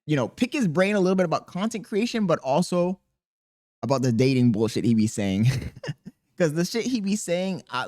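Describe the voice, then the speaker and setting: A man with a squeaky voice talking casually and profanely to camera as a content creator, rambling and animated. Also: dry recording, studio quality. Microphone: podcast dynamic mic.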